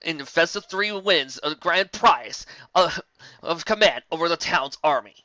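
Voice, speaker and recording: A woman voicing a stocky old male griffin ring announcer, declaiming loudly and officially in a storybook. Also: fairly low pitch, animated, a little slow, no room sound, dull audio, pitched above the performer's own voice. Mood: official, proclaiming.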